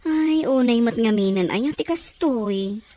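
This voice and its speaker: A woman playing an excitable, fretful young confidante in an audio drama, exclaiming dramatically. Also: fairly high pitch, a little slow, a little hiss or hum. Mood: shocked, fretful. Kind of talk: Ilocano audio drama acting.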